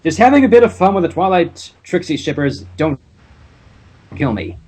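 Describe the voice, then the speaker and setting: Male host reading aloud, husky, lively pitch, wry asides between passages. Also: normal speed. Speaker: channel host and reader. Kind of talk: casual fan fiction reading.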